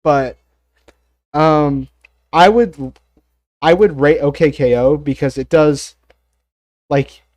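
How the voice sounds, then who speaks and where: A man's voice: a little high, darkish tone, no echo, studio quality. A congested man casually talking through his opinions on a live stream, rambling with lots of fillers and the odd swear. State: congested, coughing. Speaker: streamer ranking cartoons.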